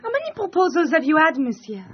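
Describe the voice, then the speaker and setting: High-voiced coquettish French mademoiselle. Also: female voice, sing-song, quick pace. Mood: curious, teasing. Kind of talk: period radio drama acting. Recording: dull broadcast audio with music behind. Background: music.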